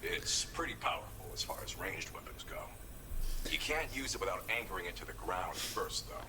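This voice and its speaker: A male video game contact who briefs the player on gear in a low, measured voice. He lays things out calmly and explains step by step. Clear background noise sits under the game audio. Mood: explanatory. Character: male game character briefing over a radio link. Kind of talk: scripted video game dialogue.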